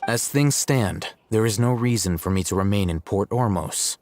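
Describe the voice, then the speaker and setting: A man voicing a calm, aloof scholar who speaks in a measured, detached way in game dialogue. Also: fairly low pitch, a little hiss or hum, close to an everyday voice. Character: calm, aloof male scholar, dry and analytical. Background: game music.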